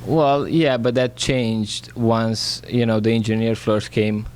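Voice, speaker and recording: A man's voice: lowish voice, steady tone, takes it slowly, a little mellow, clear, resonant tone. A man with a Romanian accent, a native Romanian speaker, answering as a guest in a radio studio with short, hesitant replies full of fillers. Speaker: flooring business owner.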